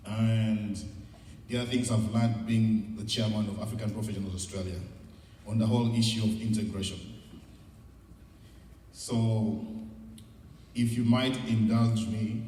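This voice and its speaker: A middle-aged man with a Ugandan accent speaks in a low, mellow voice, heard from a distance in a reverberant hall. He gives an informal, encouraging talk as a guest speaker, candid and conversational. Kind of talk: informal motivational public talk. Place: large indoor event room. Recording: echoey hall, distant mic, noisy.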